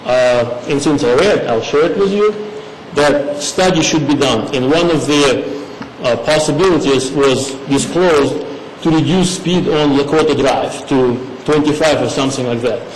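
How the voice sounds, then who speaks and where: A middle-aged man speaking at public comment in a township meeting, halting and earnest, English. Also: a little high. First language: not English. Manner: halting, earnest, with frequent pauses and fillers. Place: large meeting hall. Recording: echoey hall recording, faint hum.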